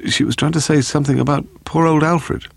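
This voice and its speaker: A man's voice: a little high, steady tone, fairly quick, creaky, dry recording, pitched above the performer's own voice. A man voicing a dazed, hesitant, piping publisher in an audiobook mystery.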